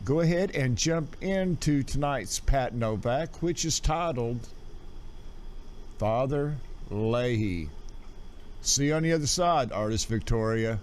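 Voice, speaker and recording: A man with a mid-pitched voice hosts a casual online radio show. He speaks in a folksy, chatty way, addressing his listeners directly like a gang of friends. There is faint noise on the home livestream recording. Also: animated, slow.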